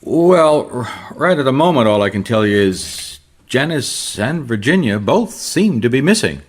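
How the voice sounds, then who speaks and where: A man's voice: medium pitch, very animated, a little slow, husky. An actor playing a beleaguered, earnest private detective in an old-time radio mystery, a man who stumbles over his words. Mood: probing, careful. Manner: hesitant, repeats himself when flustered. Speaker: private investigator character and narrator.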